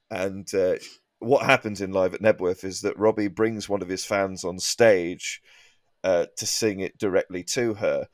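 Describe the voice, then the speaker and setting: A man telling comic anecdotes as a podcast guest in a halting, rambling way. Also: low voice, no room sound.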